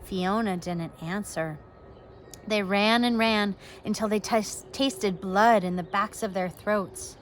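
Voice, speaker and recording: A woman teacher reading a storybook to pupils, expressive, with casual asides and questions to the young listeners. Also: medium pitch, slow, slight background noise. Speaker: elementary school teacher.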